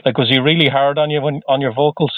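A man's voice: medium pitch, steady tone, fast, no echo. A man interviews a musician, asking short, attentive questions. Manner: brief, attentive, short prompts. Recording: dry tinny phone line.

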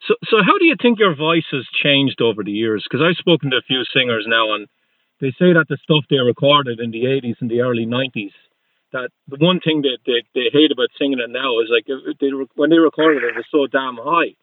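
A man's voice: mid-range voice, expressive, brisk. A male interviewer asking questions with short "yeah" affirmations and some stumbling restarts. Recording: dry, tinny phone-like line.

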